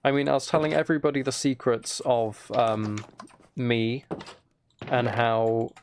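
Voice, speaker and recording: A man streaming a game, chatting casually in a relaxed ASMR style, rambling along in a self-deprecating way. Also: mid-range voice, a little slow, a little hiss or hum. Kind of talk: casual livestream chat while gaming, relaxed ASMR.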